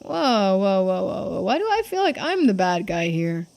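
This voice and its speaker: A woman's voice: medium pitch, very animated, normal speed, gravelly, a little mellow. A woman voicing a shrewd, laid-back young man in video game dialogue, speaking matter-of-factly and casually. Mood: casual, mildly put out. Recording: game music behind the voice.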